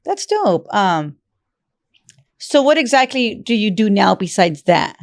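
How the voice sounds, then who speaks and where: A woman hosting a podcast, chiming in with quick, playful reactions and questions. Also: mid-range voice, sing-song, dry recording, studio quality. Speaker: podcast host. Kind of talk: casual interview chat.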